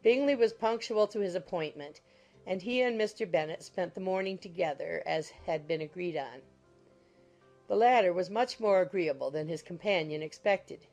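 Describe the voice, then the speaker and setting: Lower-pitched woman narrating a novel. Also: a little mellow. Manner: measured, literary storytelling. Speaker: audiobook narrator. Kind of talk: scripted narration of a classic novel. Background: background music.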